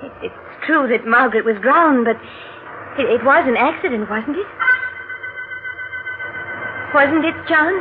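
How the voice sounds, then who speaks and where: High-voiced anxious bride, tinny radio. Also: female voice, measured pace, long pauses, very noisy. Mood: anxious probing. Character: anxious, devoted young bride with nagging doubts. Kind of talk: 1940s radio drama acting. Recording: tinny old radio, music behind.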